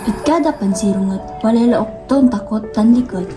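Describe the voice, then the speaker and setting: Eerie female warning-giver played by a young woman, Pangasinan accent, fairly high pitch, radio play with strong music bed. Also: normal speed, lightly acted. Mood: eerie, foreboding. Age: young adult. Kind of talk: student radio drama acting. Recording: loud music bed under voice.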